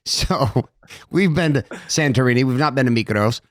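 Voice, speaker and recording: A playful male podcast host who jumps in with excitable jokes and questions. His voice is recorded dry and close, with no room sound. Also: medium pitch, moderate pace, dry recording. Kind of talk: casual interview chat.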